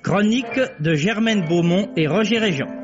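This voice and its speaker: A man formally announcing a radio drama and its credits in a deliberate, scripted vintage style. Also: high voice. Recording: muffled vintage radio recording with music. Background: music.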